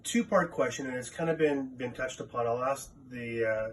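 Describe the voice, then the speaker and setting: A man's voice: medium pitch, normal speed. A man speaking as a community representative, putting questions politely and in a roundabout way on a conference call. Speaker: caller, faith community representative. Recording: distant, noisy conference call audio.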